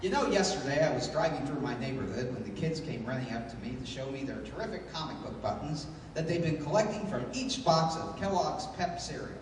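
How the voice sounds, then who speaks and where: A man announcing and narrating an old-time radio adventure serial and its sponsor pitch in a theatrical style. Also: animated, brisk, hardly any pauses, over music. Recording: echoey hall from far off, noisy.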